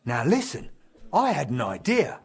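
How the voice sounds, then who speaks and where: A man's voice: a little high, normal speed, husky, heavily acted. A man playing a jovial, middle-aged medieval guildmaster in a video game, speaking heartily. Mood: confiding. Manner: hearty, expressive. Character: jovial, dice-loving older medieval guildmaster, hearty and comradely. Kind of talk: video game character dialogue.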